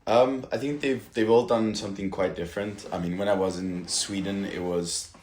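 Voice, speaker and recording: A man from Italy who works as a stunt performer, chatting casually at home about his career with hesitant pauses, fillers and restarts. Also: lowish voice, fairly even intonation, darkish tone, echoey room. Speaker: stunt performer, podcast guest. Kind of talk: casual interview chat.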